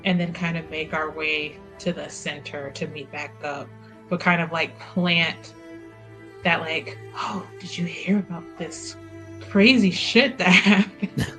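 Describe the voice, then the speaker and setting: A woman playing in a tabletop game, chatty and giggly, thinking her plans out loud. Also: on the low side, fairly even intonation, unhurried, faint music behind. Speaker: player. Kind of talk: tabletop roleplay chat.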